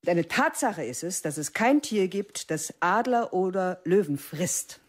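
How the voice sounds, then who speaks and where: A woman's voice: lowish voice, expressive, measured pace. A woman playing a stern, cold aging biology teacher in a radio play, speaking in a curt, commanding way. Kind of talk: radio play narration and acting.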